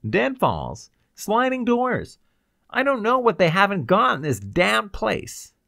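A man voicing a desperate, excitable young captive with strained, urgent outbursts in a pulp science fiction audiobook. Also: high voice, slow pace, vocal fry, no echo, clean studio sound, pitched above the performer's own voice, exaggerated voice. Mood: pleading, urgent.

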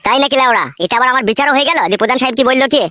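A man's voice: very high-pitched, very fast, noisy background. A man voicing a brash, coarse young village husband in a comic cartoon. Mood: defiant, crude. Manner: coarse, swearing, boastful.

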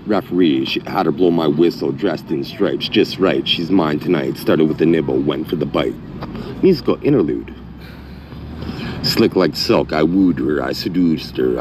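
Middle-aged man, extremely low voice, halting delivery with constant fillers, noisy phone recording. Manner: halting, many fillers and restarts. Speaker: channel owner. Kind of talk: rambling commentary, half-chanted lyric reading. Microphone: phone mic.

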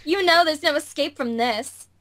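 A woman's voice: high-pitched, normal speed, crowd noise, lightly acted. A woman voicing a small, bossy, sassy young girl hunter in an anime visual novel fan dub. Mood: commanding.

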